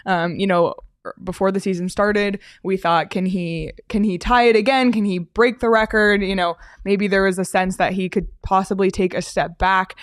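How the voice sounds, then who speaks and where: A woman hosting a hockey talk show, guiding the conversation in a relaxed, casual way with frequent fillers. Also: medium pitch, normal speed, no room sound, clean studio sound. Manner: steady, uses fillers, leads the segment. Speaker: sports show host.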